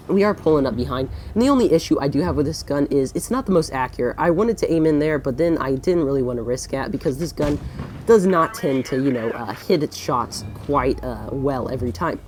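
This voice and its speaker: A man's voice: a little high, a little mellow, a little hiss or hum. A young man with a congested voice from a recent cold, casually commentating over his own gameplay and often correcting himself with fillers. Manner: rambling, self-correcting, frequent fillers.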